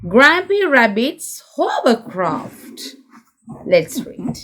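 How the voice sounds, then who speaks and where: A woman reading a picture book aloud to young children in a deliberate, animated storytelling style. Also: high-pitched.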